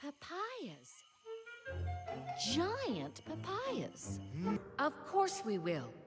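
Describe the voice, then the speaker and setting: A woman playing a cheerful, gentle mother in a children's cartoon, speaking in a genteel, playful way. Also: high-pitched, huge pitch swings, very slow, exaggerated voice. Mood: delighted, playful. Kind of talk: animated series voice acting. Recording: music under the voice.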